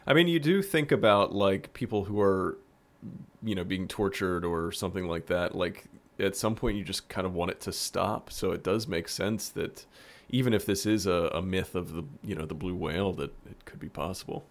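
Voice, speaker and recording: A man's voice: deep voice, moderate pace. A man speaking casually as a participant in a podcast discussion about a show.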